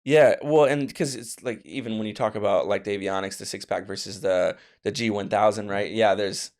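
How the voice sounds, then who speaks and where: A man's voice: on the low side, steady tone, brisk, darkish tone. An American man hosting a podcast, interviewing in a casual, conversational way. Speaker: podcast host.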